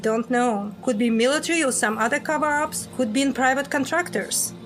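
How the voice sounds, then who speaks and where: A woman playing a brash, sharp-tongued secret agent on the run in an audio drama, blunt and sardonic. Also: high-pitched, fairly quick, soft background music, lightly acted. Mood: guarded.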